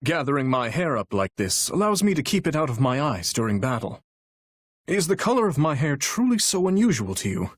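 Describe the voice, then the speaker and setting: A man voicing an earnest, courteous young warrior king with a heavy burden for a video game, speaking in formal, measured, courtly diction with a husky voice. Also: on the high side, very animated, no echo, clean studio sound. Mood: lightly teasing. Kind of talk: video game character voice lines.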